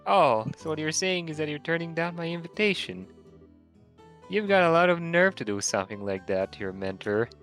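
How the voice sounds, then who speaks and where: A man's voice: a little high, lively intonation, moderate pace, a bit bright. A man voicing a proud, bossy, hard-drinking young woman mentor in an amateur game playthrough. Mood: demanding. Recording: music under the voice.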